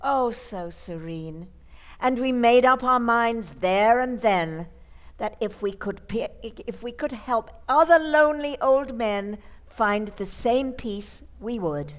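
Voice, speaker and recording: Woman playing a high, lilting genteel old aunt. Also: lively intonation, takes it slowly. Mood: sweetly matter-of-fact. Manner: genteel, matter-of-fact, gently chiding. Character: sweet, serene elderly spinster aunt, blithely murderous. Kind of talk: old-time radio comedy acting.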